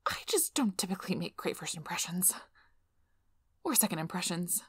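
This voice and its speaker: A woman playing a nervous young female PhD researcher in an ASMR role-play, flustered, halting and apologetic, with breathy whispered asides. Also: on the low side, very animated, fairly bright tone, no echo, exaggerated voice. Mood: nervous, rambling.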